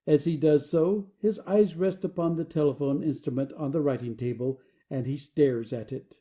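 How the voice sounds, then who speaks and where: A woman's voice: deep voice, normal speed, warm tone. A woman giving scripted narration, reading out the stage directions of an audio play.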